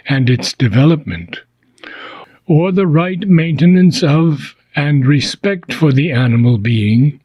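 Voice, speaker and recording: A man with a husky voice reads philosophical prose aloud as an audiobook, solemn and deliberate, pausing between short phrases. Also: a little high, darkish tone, no room sound. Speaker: reader of a philosophy book. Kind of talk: slow, measured audiobook reading of philosophical prose.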